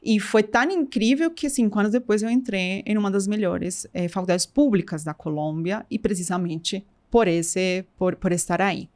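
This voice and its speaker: A woman's voice: mid-range voice, moderate pace, hardly any pauses, darkish tone. Interview guest: Colombian woman, middle-aged, heavy accent, reflective, stops and restarts her thoughts. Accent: Colombian Spanish. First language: Spanish. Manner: thoughtful, many restarts, mixes Spanish into Portuguese. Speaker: guest, company co-founder. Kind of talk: casual interview talk.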